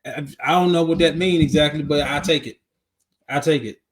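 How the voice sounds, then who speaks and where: A man's voice: a little high, fairly quick. A man co-hosting a podcast in casual chat, easygoing and conciliatory, with slangy turns of phrase. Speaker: podcast co-host.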